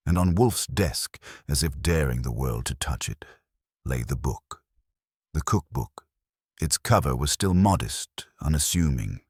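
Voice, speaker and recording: Very deep, husky male story narrator. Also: animated, a little slow, no room sound, studio quality. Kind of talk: scripted audiobook narration, detective story.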